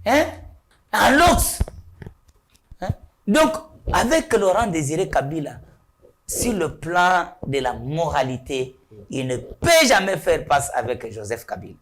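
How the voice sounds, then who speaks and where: Man, talk show guest, high-pitched, animated, rhetorical. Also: takes it slowly, a little hiss or hum. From Kasai, DR Congo. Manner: emphatic, rhetorical, repeats points and asks "hein?". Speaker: studio guest. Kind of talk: political talk show discussion.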